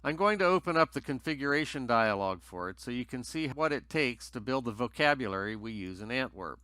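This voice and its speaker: A man's voice: mid-range voice, expressive, fairly quick, sharp tone, smooth, no echo. A man narrating a scripted technical tutorial in a methodical, explanatory way as its presenter.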